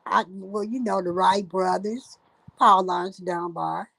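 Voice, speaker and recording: An older woman from Ohio, the host's mother, chatting as a guest in halting speech full of fillers and restarts. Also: on the low side, fairly even intonation, creaky, a bit bright, no room sound, poor audio. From Dayton, Ohio, USA. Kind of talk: casual chat.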